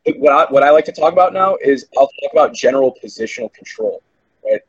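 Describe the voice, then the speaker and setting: A man's voice: medium pitch, lively intonation, fast, breathy edge, highs cut off. A man who works as a game commentator, chatting on a podcast in a rambling, profane, self-assured way. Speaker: esports commentator. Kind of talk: casual podcast chat.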